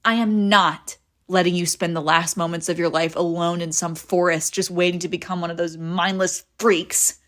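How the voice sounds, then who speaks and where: A woman's voice: fairly low pitch, steady tone, moderate pace, close to an everyday voice. Woman acting a clingy, emotional apocalypse survivor friend. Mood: desperate pleading. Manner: emotional, pleading, swinging between insistent and hushed. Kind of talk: scripted audio roleplay acting, intimate and conversational.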